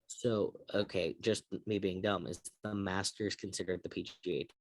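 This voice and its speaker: A man's voice: deep voice, fairly even intonation, moderate pace, dry recording, poor audio. A man hosting a casual sports talk show, chatting and putting questions to his co-hosts. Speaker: sports podcast host.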